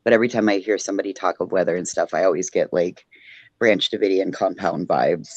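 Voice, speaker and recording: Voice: sits low, brisk, darkish tone, dry recording, highs cut off. Dry-sounding livestream panelist, casual chat. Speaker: true-crime livestream panelist.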